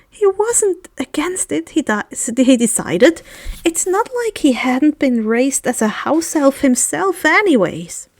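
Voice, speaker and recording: A woman's voice: high-pitched, dry recording, studio quality. A woman narrating a story expressively as an amateur audiobook reader, now and then stumbling slightly over a word.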